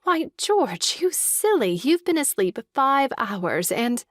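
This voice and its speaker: A woman playing a gentle, fond young sister in a comic stage farce, with a coaxing, soft-hearted manner. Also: high voice, big pitch swings, takes it slowly, no room sound, clean studio sound, full character voice. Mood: gently coaxing.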